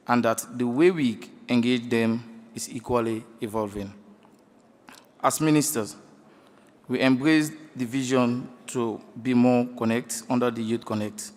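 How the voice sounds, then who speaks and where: A man delivers a formal address. His delivery is deliberate and oratorical, with a mid-pitched voice ringing through a long hall reverb. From Sierra Leone. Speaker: government minister giving a speech. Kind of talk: formal ceremonial public speech. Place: large indoor conference hall.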